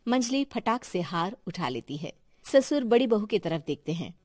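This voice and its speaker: A woman's voice: mid-range voice, sing-song, moderate pace, a little mellow, dry recording, studio quality. A woman reading scripted narration for a cartoon family story.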